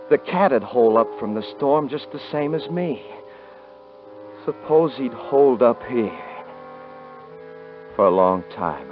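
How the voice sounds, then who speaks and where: An actor playing a cocky, rough-talking frontier hunter who also narrates, in a 1950s radio drama. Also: male voice, mid-range voice, natural voice. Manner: rough rural grammar, boastful. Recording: muffled vintage radio recording.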